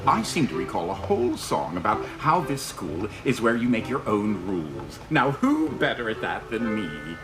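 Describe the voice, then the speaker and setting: Discord, a smug chaos spirit. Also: male voice, lowish voice, sing-song, moderate pace, few pauses. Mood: smug, teasing. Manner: sly, sing-song, mock-innocent. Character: mischievous male chaos spirit, smug and theatrical. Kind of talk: cartoon voice acting.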